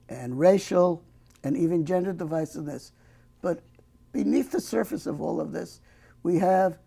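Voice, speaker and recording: Older male talk host, gravelly and warm-toned, folksy, jokey. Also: high-pitched. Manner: folksy, joking asides. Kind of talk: local access TV talk show.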